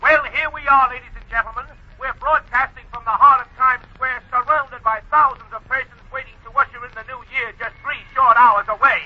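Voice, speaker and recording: A radio announcer with a projected, announcing delivery. His voice is very high and comes through a radio effect. The recording is a muffled 1940 broadcast. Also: fairly even intonation, a little fast, long pauses. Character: male radio announcer at a crowd. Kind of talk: 1940s radio acting.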